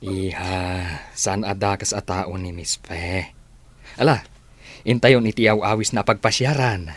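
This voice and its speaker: An actor playing an earnest widowed father courting a woman in an Ilocano radio drama, with a husky, breathy voice. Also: low-pitched, steady tone, a little slow, dry recording. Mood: cheerful, fatherly.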